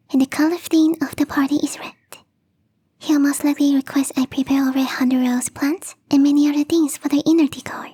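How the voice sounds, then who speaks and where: Woman acting a young catgirl gardener, very high voice, mellow and whispery, gentle, teasing, earnest, ASMR roleplay. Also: natural voice. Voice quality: soft, whispery, breathy. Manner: gently teasing, confiding, addressing the listener directly.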